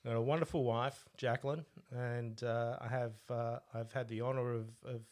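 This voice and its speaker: A middle-aged man born in Italy, with an Australian accent, co-hosting a podcast and chatting casually as a relaxed storyteller. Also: medium pitch, moderate pace. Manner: relaxed storyteller, laughs at own stories. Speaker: podcast co-host, coach. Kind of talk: casual conversational chat.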